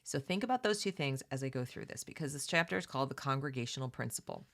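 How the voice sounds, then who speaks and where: A woman's voice: a bass voice, expressive, fast, a bit bright. A woman hosting a read-along, mixing reading aloud with casual, opinionated commentary in an emphatic, conversational way that addresses listeners directly.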